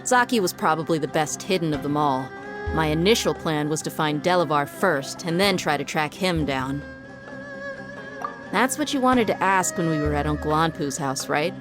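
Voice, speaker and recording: An actress playing a shrewd, confident desert mercenary in a video game cutscene, with a wry, matter-of-fact delivery. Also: female voice, low voice, expressive, moderate pace. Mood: confident, explaining. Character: shrewd, self-assured adult female desert mercenary. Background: background game music.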